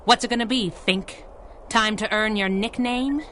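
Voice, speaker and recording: A woman's voice: mid-range voice, a little slow, a bit bright, slight background noise, lightly acted. A woman playing a cocky, taunting superheroine, wisecracking in a 1930s-style radio drama. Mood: teasing, menacing. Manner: teasing, wisecracking, 1930s slang.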